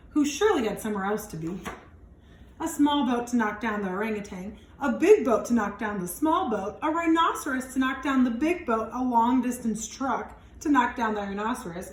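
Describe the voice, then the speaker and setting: A woman reads a picture book aloud to children in an animated way, stretching words for emphasis and adding chatty, wondering asides. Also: fairly high pitch. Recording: echoey room with some background noise.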